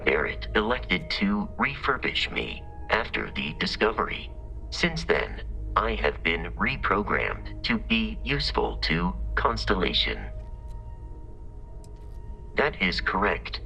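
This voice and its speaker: An actor playing a polite, literal-minded exploration robot in a video game, speaking in formal, precise, measured deadpan. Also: male voice, sits low, creaky, faint music behind, exaggerated voice, poor audio. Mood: deadpan, courteous. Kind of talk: scripted video game character dialogue.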